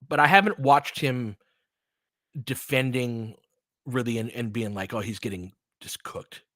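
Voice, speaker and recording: A man's voice: mid-range voice, a little slow, a bit bright, no echo. A man with an American accent hosting a casual basketball commentary, analytical and thinking aloud in sentences that trail off and restart. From California, United States. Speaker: host and play-by-play commentator. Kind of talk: casual basketball chat and live commentary, answering listeners.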